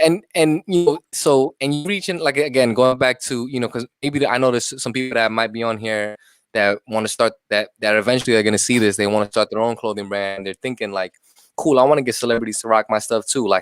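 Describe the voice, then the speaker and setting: A man hosting a casual interview, asking questions in halting bursts full of fillers. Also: mid-range voice, fairly even intonation, fairly bright tone, smooth, no echo. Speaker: podcast host.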